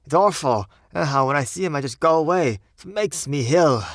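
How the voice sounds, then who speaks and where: A man's voice: mid-range voice, a little fast, vocal fry, a bit bright, no room sound, studio quality. A man voicing a young Cockney bellboy in an audiobook, deferential but indignant and uneasy, speaking ungrammatically. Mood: embarrassed, frightened. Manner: ungrammatical, servile, peppered with "sir". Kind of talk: audiobook character voice.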